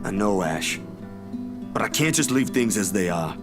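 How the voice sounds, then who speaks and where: A man's voice: fairly low pitch, lively intonation, moderate pace, natural voice. A male actor voicing an easygoing but stubborn young man in a visual novel. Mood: defensive. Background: guitar music.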